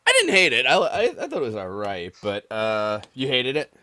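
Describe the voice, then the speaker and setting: A man's voice: mid-range voice, huge pitch swings, normal speed, bright, forceful tone. A man hosting a casual call-in gaming stream, bantering and mock-arguing with callers and swearing casually. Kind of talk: casual banter with callers about video games.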